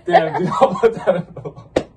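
Playful young man joking off-mic. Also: sits high. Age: young adult. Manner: playful, joking, laughs a lot. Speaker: friend of the vlogger. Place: college dorm room, indoors. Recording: distant voice with clear background noise.

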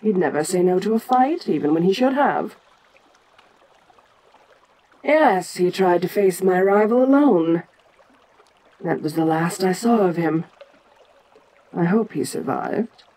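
A woman playing an ancient, imperious giant monster queen in an audio roleplay, speaking in a regal, deliberate and musing manner with frequent pauses. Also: fairly low pitch, expressive, mellow, rough recording. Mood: amused, fond. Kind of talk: slow in-character audio roleplay monologue.

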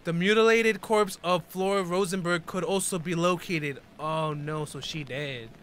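A man's voice: on the high side, a little slow, a bit bright, a little hiss or hum. A man in his twenties reacting casually to a video, reading text aloud and commenting in informal, slangy speech.

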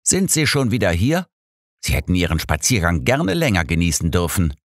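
A man's voice: deep voice, very animated, moderate pace, no room sound, studio quality, pitched above the performer's own voice, heavily acted. A man voicing an irritable, sarcastic old detective in an audiobook, curt and condescending. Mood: grumpy, curt. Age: older. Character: irritable, sarcastic old male detective, imperious know-it-all. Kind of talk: audiobook character dialogue.

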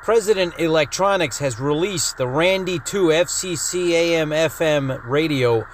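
A man's voice: fairly high pitch, normal speed. A man hosting a hobby video, talking through a product in an informal, matter-of-fact way. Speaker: host of a radio-hobby video channel. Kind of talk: casual product announcement and feature rundown. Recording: clear steady background noise.

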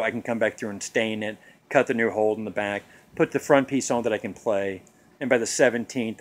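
A man's voice: mid-range voice, fairly bright tone. Joking bearded man vlogging outdoors. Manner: jokey, self-deprecating, rambling. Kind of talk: casual rambling vlog. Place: outdoors in a suburban front yard. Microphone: phone camera at arm's length.

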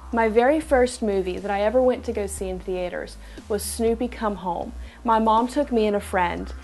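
A woman's voice: mid-range voice, darkish tone, low-quality recording. A woman in her thirties, a home video host, giving an earnest devotional talk to camera from a room at home, with playful asides.

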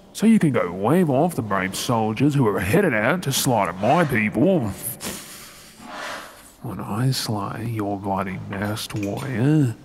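A man's voice: fairly low pitch, slight background noise. A man with an Australian accent playing a resentful undercover soldier posing as a royal servant in an audio role-play, brooding in asides and formally deferential in address. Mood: seething. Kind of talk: scripted ASMR audio role-play acting.

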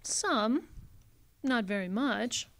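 An actress playing a weary, pleading, deceitful femme fatale in an old-time style radio drama. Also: female voice, a little high, animated, drawn-out words, fairly bright tone, exaggerated voice. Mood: evasive, confessing.

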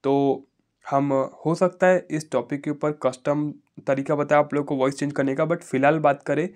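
A man's voice: a little high, fairly even intonation, normal speed, darkish tone. A man giving a casual phone tutorial voice-over, repeating himself and often checking in with listeners. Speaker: tech YouTuber.

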